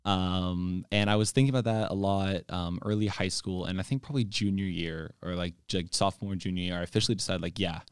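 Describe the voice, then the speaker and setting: A man's voice: low-pitched, steady tone, quick pace, few pauses, clean tone, no room sound, clean studio sound. A young man, a church intern, talking earnestly and eagerly in a casual podcast conversation, with lots of fillers. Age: young adult. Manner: earnest, enthusiastic, fillers and restarts. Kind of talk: casual chat.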